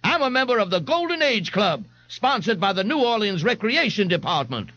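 A man's voice: sits high, very animated, normal speed, bright, forceful tone, muffled recording, soft background music, voice pushed up, heavily acted. A man voicing an indignant, fussy elderly man in a street crowd. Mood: protesting.

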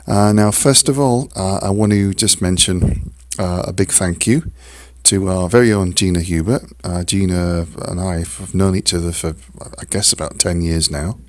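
Croaky, deep-voiced male podcast host, hesitant and full of fillers, close dry sound. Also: dry recording. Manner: halting, many fillers and restarts. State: hoarse, croaky from a cold. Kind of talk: casual solo podcast talk.

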